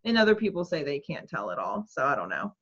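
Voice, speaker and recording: A woman's voice: sits low, very animated, fast, slightly rough. A woman in her thirties, co-host of a crafting chat, rambling casually with lots of fillers over a video call from home. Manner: rambling, hesitant, filler-heavy. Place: home room. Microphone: webcam on video call. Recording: dull lo-fi call audio.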